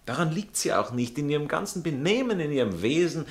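An actor playing a prim, jealous aristocratic fiancé with an Austrian accent, drawling and indignant in a satirical stage comedy. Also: male voice, a little high, very animated, fairly quick. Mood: condescending. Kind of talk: stage comedy acting in a radio play manner.

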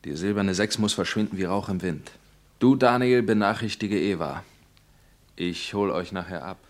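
A calm resistance leader in a radio play, played by a man. He gives firm, decisive orders in a low voice. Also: moderate pace, close to an everyday voice.

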